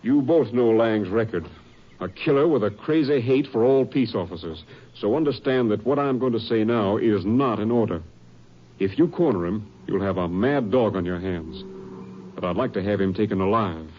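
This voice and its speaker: An actor playing a stern, earnest American Texas Rangers captain in an old radio drama. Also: male voice, on the low side, expressive, moderate pace. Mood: grave, earnest. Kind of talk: 1950s radio drama acting. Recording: muffled old radio recording.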